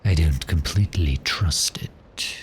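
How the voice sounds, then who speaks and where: A man playing a predatory vampire in an intimate ASMR roleplay, teasing and menacingly gentle, with a breathy, half-whispered voice. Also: rumbling low, slight background noise. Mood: teasing, amused. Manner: slow, teasing, menacingly gentle, lingering pauses. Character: cheerful, predatory male vampire, silkily toying with prey.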